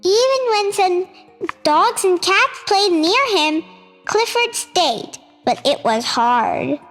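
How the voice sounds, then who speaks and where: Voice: very high-pitched, animated, slow, fairly bright tone. A young child reading a children's storybook aloud as a storytime host. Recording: reverberant hall sound with music. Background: music.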